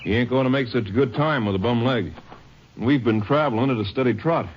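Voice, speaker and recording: An actor playing a plain-spoken, inquisitive small-town sheriff in an old-time radio western, folksy and full of questions. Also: male voice, mid-range voice, brisk, lightly acted. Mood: curious. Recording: muffled old radio recording, noisy, clicks.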